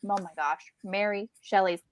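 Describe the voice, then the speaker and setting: A woman talks casually about books as a co-host. Her pitch is average and the sound is dry, with little room echo. She retells things in a chatty, animated way, slipping into quoted asides. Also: normal speed. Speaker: book club co-host. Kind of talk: casual book discussion.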